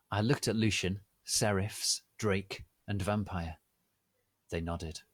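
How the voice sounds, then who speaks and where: A man giving a deliberate, theatrical dramatic reading of fan fiction as narrator. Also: lowish voice, fairly bright tone, no room sound. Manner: deliberate, theatrical, reads misspellings exactly as written.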